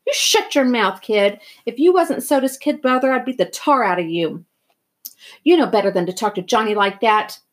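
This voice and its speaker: A woman's voice: on the high side, big pitch swings, fairly quick, few pauses, husky, pitched above the performer's own voice. A woman voicing a tough, loyal, wisecracking teenage boy in a storybook, with blunt slangy speech. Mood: fierce, scolding.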